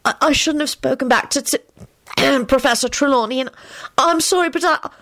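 Woman voicing a high-pitched, earnest young schoolboy. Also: moderate pace, fairly bright tone. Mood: defiant, emotional. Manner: hesitant, blurting, pleading. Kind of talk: audiobook character voice.

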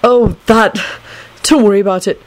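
A woman voicing an excitable, knowing young man in a storybook, blunt and eager in manner. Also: medium pitch, normal speed, slight background noise, pitched above the performer's own voice, natural voice.

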